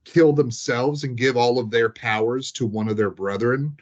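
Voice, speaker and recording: Man, medium pitch, casual storytelling chat, rambling, filler-heavy, muffled lo-fi sound. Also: fairly even intonation, moderate pace. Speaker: tabletop game master. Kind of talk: casual chat, recounting game sessions. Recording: dull, lo-fi sound.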